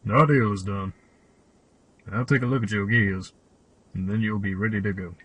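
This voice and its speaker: A man's voice: fairly low pitch, fairly even intonation, normal speed, a bit bright, husky. A man playing a cryptic old cave hermit and mechanic in an audio drama, with a solemn, old-fashioned storyteller's delivery. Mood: gruff, practical. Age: older. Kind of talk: fan audio drama acting.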